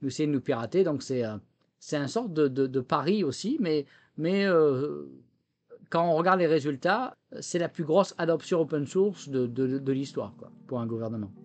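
Give the voice of a man from France, pitched a little high, with a French accent lightly touched by American. He explains things as an interview guest. He stumbles over words and repeats them while looking for the right one. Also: expressive, moderate pace, a little mellow. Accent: French with a slight American tinge. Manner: hesitant word-searching with repeated words. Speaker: technology entrepreneur and former government official, interview guest.

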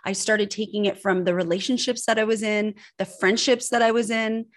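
A woman's voice: medium pitch, fairly even intonation, a little fast. A middle-aged woman who grew up in Northern California, speaking as an interview guest and telling her life story in an earnest, confessional way. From Switzerland, grew up in Northern California. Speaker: guest, personal branding expert.

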